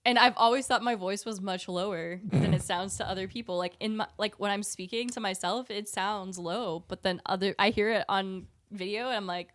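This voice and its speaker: A woman's voice: mid-range voice, normal speed, hardly any pauses, fairly bright tone, dry recording. A woman co-hosting a podcast, chatting casually in a giggly, talkative way. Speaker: podcast co-host. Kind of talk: casual chat.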